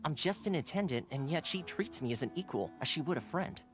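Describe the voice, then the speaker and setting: A courteous young gentleman retainer from a video game, played by a male actor, speaking in a very lively, swinging pitch. He is playful and smooth-talking yet formal, with music playing behind him. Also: fairly quick, natural voice. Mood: warm, explanatory. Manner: polite, playful, formal phrasing. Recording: game dialogue over background music.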